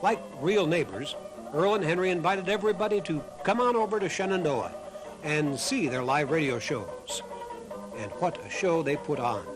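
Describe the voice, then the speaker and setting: Male doc narrator, slightly high voice, measured storytelling read, music underneath. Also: expressive, poor audio. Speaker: documentary narrator. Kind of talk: scripted narration.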